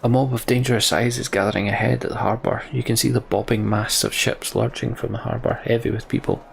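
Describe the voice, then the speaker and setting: A man's voice: mid-range voice, flat delivery, brisk, gravelly, slight background noise. A man reading story text from a game aloud and adding casual commentary as he plays. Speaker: let's player.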